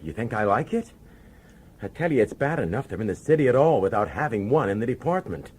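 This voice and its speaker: A man's voice: mid-range voice, sing-song, brisk, darkish tone, husky. An actor playing a middle-aged male police commissioner in a radio drama, nervous and insistent, pleading with urgency. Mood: uneasy. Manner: urgent, hesitant, pleading authority.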